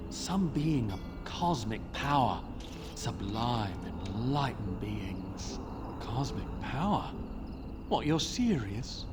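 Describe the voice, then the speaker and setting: A man playing an earthy, cynical commoner in a fantasy game, theatrical and sardonic as he philosophizes and grumbles. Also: medium pitch. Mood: musing. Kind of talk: fantasy video game dialogue.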